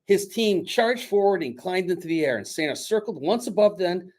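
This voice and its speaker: A man with a slightly high voice reads a story to children with big swings in pitch. He is dramatic and teacherly, often turning to his young listeners to coax them with questions. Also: animated, normal speed, a little mellow. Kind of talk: animated picture-book read-aloud with asides to children.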